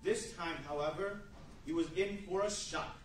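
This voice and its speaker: A man's voice: high voice, normal speed. A man narrating a scripted story for a radio play. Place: large meeting hall before an audience. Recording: very echoey distant hall, faint noise.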